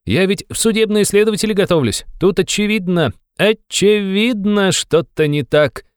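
A man voicing an excited, quick-witted very young man with a ringing, eager way of explaining in an audiobook of a classic novel. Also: high voice, clear, resonant tone, no echo, studio quality, pitched above the performer's own voice. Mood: urgent, excited.

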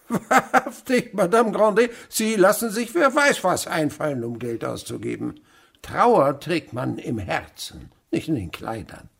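Creaky, swooping old miser. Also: male voice, a little high, animated, measured pace, exaggerated voice. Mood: gruff, mocking. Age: older. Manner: grumbling, sarcastic, theatrical. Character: miserly, domineering older husband and uncle, gruff and sly. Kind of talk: German radio drama acting.

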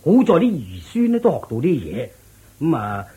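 An actor with a Hong Kong Cantonese accent playing a pleased, boastful lord in a radio drama. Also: male voice, a little high, wildly swooping pitch, moderate pace, mellow, slightly rough, lo-fi sound, heavily acted. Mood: explaining, pleased. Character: pleased, self-satisfied lord, boastful of his generosity.

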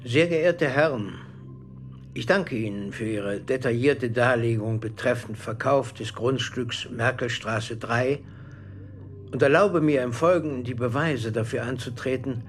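A man's voice: medium pitch, a little slow, close to an everyday voice. Man as courteous, determined letter writer, faint music. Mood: polite resolve. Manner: courteous, formal. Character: polite, determined émigré claimant writing a letter. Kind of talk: documentary radio reading of a letter.

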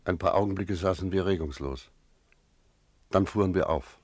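A deep-voiced village innkeeper in a radio drama, brooding and dreamy. The sound is close and dry, and he tells things in pensive, literary sentences. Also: normal speed, darkish tone, no echo. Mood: uneasy. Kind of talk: German radio drama acting with narration.

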